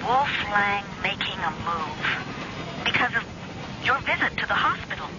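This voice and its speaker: A woman's voice: mid-range voice, animated, a little slow, close to an everyday voice. An actress as an anxious, pleading woman in muffled radio drama. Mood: frightened, worried. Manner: worried, pleading. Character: anxious, insistent woman fearing for a stubborn man's life. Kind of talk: old-time radio drama acting. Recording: muffled, noisy old radio recording.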